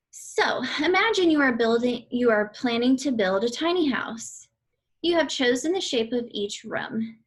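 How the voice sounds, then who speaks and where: A woman reading aloud an educational book for children in a deliberate, instructional way. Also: on the high side, a bit bright. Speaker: read-aloud reader for children.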